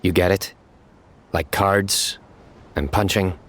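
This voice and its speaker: An actor playing a stern, deadpan young male judge with a taste for bad puns, in a game cutscene. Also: sits low, takes it slowly, exaggerated voice. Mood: solemn. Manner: clipped, deadpan and solemn. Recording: game soundtrack music behind.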